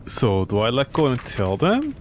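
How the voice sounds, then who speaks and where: A man's voice: medium pitch, wildly swooping pitch, moderate pace, a little hiss or hum. A man giving casual, sarcastic and incredulous commentary as he streams a video game.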